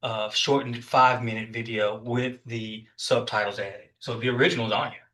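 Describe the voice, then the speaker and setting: A male prosecutor puts questions in a courtroom. His voice is bright and forceful, with a flat, even melody. His phrasing is informal and halting. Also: mid-range voice.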